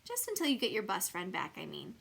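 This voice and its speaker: Woman voicing an earnest young schoolboy, bright. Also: medium pitch, huge pitch swings, fairly quick, gravelly, exaggerated voice. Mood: earnest, shy. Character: friendless young schoolboy, loud laugher, plain and earnest. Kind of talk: children's storybook character voice.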